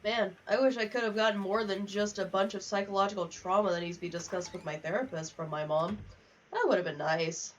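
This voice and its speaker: A woman hosting a casual gaming livestream. She talks candidly and wryly with plenty of swearing, at a middling pitch. The sound is dull and a little far from the microphone. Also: fairly even intonation, a little fast, a little hiss or hum. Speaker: livestream host. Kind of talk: casual gaming livestream chat, reading in-game letters aloud. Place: home office.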